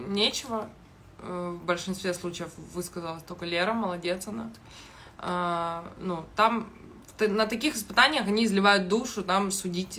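A young woman giving casual video commentary in a blunt, halting, heavily sweary manner, recorded on a phone in a kitchen. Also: fairly low pitch, sharp tone. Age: young adult. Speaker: reality show commentator. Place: small kitchen indoors. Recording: noticeable background noise.

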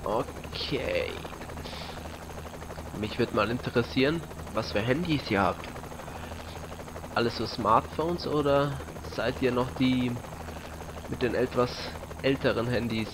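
A young man giving casual, rambling and slightly halting commentary as he plays a video game on a headset. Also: medium pitch. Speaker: let's play host. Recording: loud game gunfire and noise behind voice. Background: video game gunfire, vehicles, helicopter.

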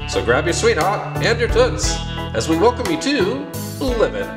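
A woman's voice: mid-range voice, very animated, normal speed. A woman announcer delivering a scripted introduction in the style of an old-time radio show. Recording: roomy echo under loud music. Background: loud plucked guitar music.

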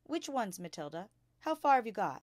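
A woman's voice: medium pitch, sing-song, normal speed, darkish tone, dry recording, lightly acted. Kindly young lady teacher done by a woman reader, earnest n emphatic, storybook style, instructing her class. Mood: curious, probing. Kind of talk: children's storybook read-aloud.

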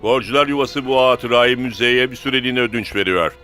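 A man acts an assured adult in a sports cartoon. His delivery is emphatic and declarative, in a bright, forceful mid-pitched voice with light music behind. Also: brisk, close to an everyday voice.

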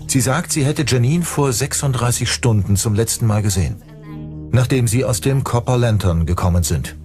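Male narrator for a scripted crime documentary, mid-pitched, light music bed. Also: lively intonation, normal speed.

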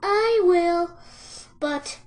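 Woman voicing a very high-pitched, crafty little storybook piglet. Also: very slow, darkish tone, heavily acted. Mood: meek, coaxing. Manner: sighing, coaxing, mock-helpless. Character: small crafty piglet, squealing, falsely meek and polite.

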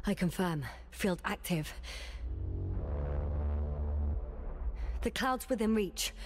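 An actress playing a level-headed, wary space crew scientist in a video game, reporting in short conversational phrases. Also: female voice, medium pitch, lively intonation, moderate pace, a little hiss or hum, lightly acted. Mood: focused.

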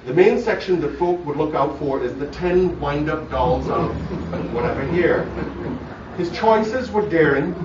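A man with a Barbadian accent reads a prepared tribute in a formal, measured way, his voice slightly high. It is picked up from far off in a very echoey space, through a muffled phone recording. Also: expressive, background noise. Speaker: son delivering a eulogy for his father. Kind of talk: scripted memorial speech to an audience. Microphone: phone camera far from the speaker.

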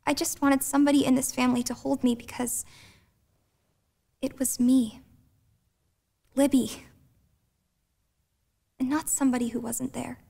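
An anxious, vulnerable young girl with a high voice, played by a young woman. She speaks as in a stage drama, confiding and longing to be loved. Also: flat delivery, a little slow, creaky, pauses often, mellow, husky, full character voice. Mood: wounded. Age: teens to young adult.

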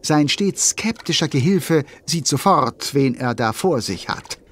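A man's voice: fairly high pitch, expressive, unhurried, a bit bright. A man playing a wry, playful narrator who addresses listeners in a German children's audio drama. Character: wry, chatty male storyteller.

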